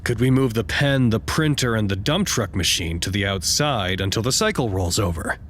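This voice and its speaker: A man's voice: fairly low pitch, expressive, moderate pace, fairly bright tone, slight background noise. A man acting in an audio drama as a weary, earnest staff administrator, speaking in a dry, understated way. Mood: businesslike.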